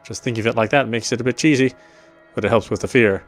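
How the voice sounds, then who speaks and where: A man with a mid-pitched voice reads a visual novel's narration aloud. He now and then trips over a phrase and repeats it, with quiet music behind him. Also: fast talker. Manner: stumbles and rereads phrases. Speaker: let's player reading game text aloud.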